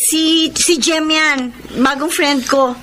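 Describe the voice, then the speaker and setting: A woman's voice: high voice, steady tone, slow, background noise. An actress with a Filipino accent playing a friendly, coy girlfriend in a radio romance drama. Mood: nervous, evasive. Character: young girlfriend, friendly and coy, evasive when questioned.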